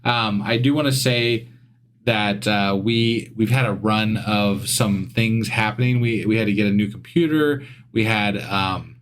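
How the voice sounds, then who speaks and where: A man co-hosting a casual podcast, talking in a rambling, halting way with many restarts. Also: fairly low pitch, a bit bright, clear, resonant tone. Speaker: podcast co-host.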